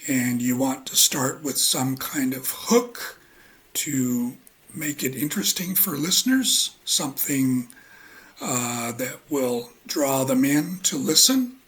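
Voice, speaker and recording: A man with a husky voice giving a relaxed spoken lecture as an English teacher, halting often with ums and uhs. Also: mid-range voice.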